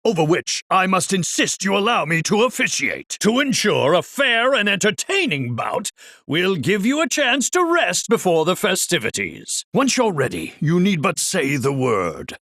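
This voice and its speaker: An actor playing a bombastic, pompous middle-aged arena owner in a video game, announcing fights in grandiose, florid speech. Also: male voice, sits high, big pitch swings, normal speed, a bit bright, exaggerated voice. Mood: showman fanfare. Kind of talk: theatrical video game voice acting, ringside commentary. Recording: echoing hall, distant reverberant voice.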